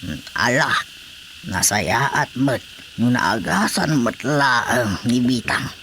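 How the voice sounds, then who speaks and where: A man's voice: mid-range voice, animated, takes it slowly, pushed and bright, slight background noise. A man with an Ilocano accent playing a resigned village husband in a radio drama.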